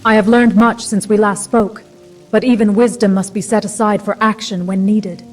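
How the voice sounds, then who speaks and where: A woman's voice: medium pitch, fairly even intonation, normal speed, a little mellow, far from the mic, soft background music, natural voice. A woman voicing a proud, hardy Nordic village huntress in a video game, speaking formally like an earnest storyteller. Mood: solemn. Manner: formal, storytelling, mock-solemn. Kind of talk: video game character dialogue.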